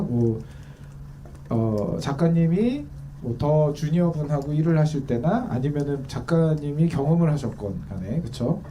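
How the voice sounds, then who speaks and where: A man asks polite, measured interview questions in a very dark, mellow voice. The mic sits far from him, so he sounds distant, with a faint noise bed behind him. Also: on the high side.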